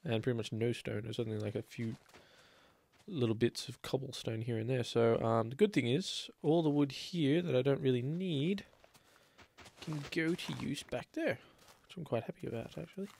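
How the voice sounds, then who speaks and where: A man's voice: mid-range voice, normal speed, gravelly, darkish tone. A man with a slight cold, chatting casually and rambling as he plays a building game. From Australia. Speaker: let's play host. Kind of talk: casual gameplay commentary.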